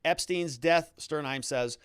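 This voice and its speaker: A man's voice: a little high, unhurried, fairly bright tone, smooth, dry recording, studio quality. A man hosting a legal commentary show, recapping a trial and reading quotes aloud with emphatic, theatrical stresses.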